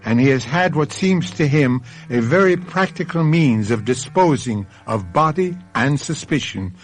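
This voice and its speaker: A man hosting a radio mystery drama, narrating in a dramatic, portentous, deliberate manner. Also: on the high side, animated, soft background music, poor audio. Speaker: host and narrator.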